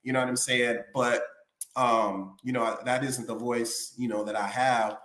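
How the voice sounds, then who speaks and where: A man's voice: medium pitch, fairly even intonation, unhurried, a bit bright, reverberant. A male singer being interviewed, talking casually and rambling with lots of "you know what I'm saying". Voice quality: raspy.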